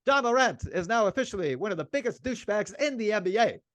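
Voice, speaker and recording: A man's voice: sits high, sing-song, fast, fairly bright tone, no room sound. A man co-hosting a sports podcast in casual, opinionated chat, emphatic and informal, repeating phrases for emphasis.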